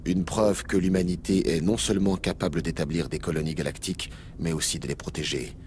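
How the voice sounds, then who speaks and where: A grave, commanding alien agent in a sci-fi game, acted by a man. The voice is extremely deep, over a faint noisy ambience. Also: fairly even intonation, fairly quick, darkish tone, natural voice. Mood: grave, probing. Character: solemn, authoritative alien special agent aboard a warship. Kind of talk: video game voice acting.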